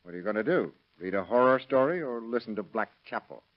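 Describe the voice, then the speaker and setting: Actor as a fast-talking, wisecracking cynical cop. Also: male voice, mid-range voice, lively intonation, lightly acted. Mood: teasing. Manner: sardonic, slangy, tough. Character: cynical, wisecracking police partner who scoffs at hunches. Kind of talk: 1930s radio crime drama acting. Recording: dull lo-fi vintage radio recording.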